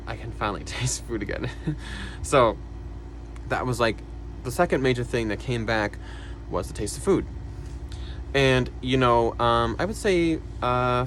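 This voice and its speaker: A young man talking casually to camera about his own life, soft-spoken and hesitant, with many fillers and quiet sighs. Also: mid-range voice, slight background noise. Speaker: vlogger. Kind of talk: casual personal talk to camera.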